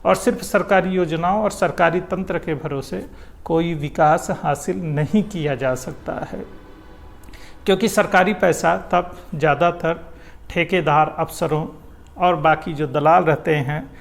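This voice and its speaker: A man's voice: a little high, measured pace, creaky. A man giving an explanatory, didactic opinion monologue as a commentator on public affairs. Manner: explanatory, didactic, rhetorical questions. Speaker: political commentator.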